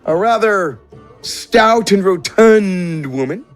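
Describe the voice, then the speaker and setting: A man with a fairly high voice acts as game master. He improvises descriptions for his players in a conversational tone, with soft music underneath. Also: animated, slow pace. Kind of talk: improvised tabletop game narration. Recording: music behind the voice.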